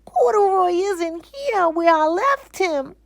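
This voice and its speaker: Woman acting a high-pitched, eager little girl. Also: animated, slow pace, gravelly, heavily acted. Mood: upset.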